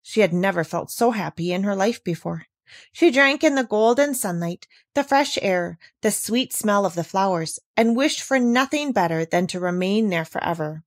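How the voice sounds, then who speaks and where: A woman reading a classic children's novel aloud, with measured, expressive delivery. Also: medium pitch, no room sound, studio quality.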